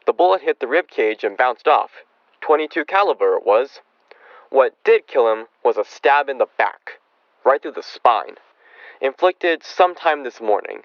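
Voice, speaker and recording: A dry, muffled radio western recording of a man acting as a frontier town doctor. He is talkative and folksy, with a clinical, apologetic manner and a darkly flippant streak, at a middling pitch. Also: animated, normal speed, no room sound, lightly acted. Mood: matter-of-fact. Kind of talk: old-time radio western drama acting.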